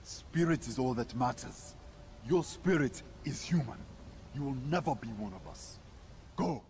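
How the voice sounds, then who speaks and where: A man's voice: medium pitch, lively intonation, unhurried, a little mellow, slight background noise, natural voice. An actor playing a proud, hostile male alien warrior who scorns humans, speaking in a stern, commanding game voice. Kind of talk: video game voice acting.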